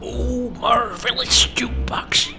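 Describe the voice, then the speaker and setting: A student voicing a crazy, cackling old grandpa in a school radio play. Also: female voice, fairly high pitch, fairly even intonation, creaky, music in the background. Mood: gleeful. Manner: slow, teasing, giggly delivery.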